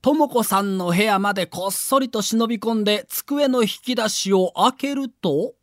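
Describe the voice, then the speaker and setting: A man's voice: high-pitched, normal speed, a bit bright, dry recording, clean studio sound. A man reading a scripted documentary narration with a theatrical storyteller's delivery.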